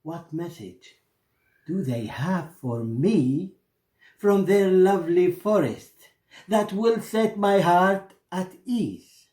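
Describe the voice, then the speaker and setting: A man's voice: high-pitched, warm tone, pitched above the performer's own voice. A man with an Iraqi Arabic accent voicing a sorrowful female songbird in a fable, plaintive and poetic as it yearns for freedom. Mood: wistful yearning. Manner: plaintive, poetic, drawn-out delivery. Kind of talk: storybook fable storytelling. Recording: roomy echo.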